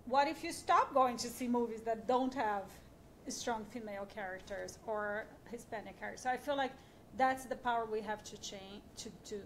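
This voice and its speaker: Female panelist, higher voice, earnest and persuasive call to action. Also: slight background noise, low-quality recording. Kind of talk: panel discussion.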